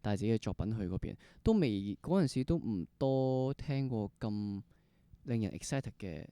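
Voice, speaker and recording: A man's voice: on the low side, animated, normal speed, mellow, smooth, dry recording. A man with a Hong Kong Cantonese accent hosting a conversational interview, engaged and mixing English words into his questions. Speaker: interview host.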